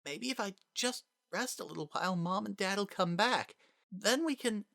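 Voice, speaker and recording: Small monster boy, played by a man: high-pitched, fragile, sorrowful and pleading, for a dramatic audiobook-style fantasy story. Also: moderate pace, creaky, bright, forceful tone, no echo, studio quality, exaggerated voice. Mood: numb, weary. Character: frightened young monster boy, gentle and sorrowful.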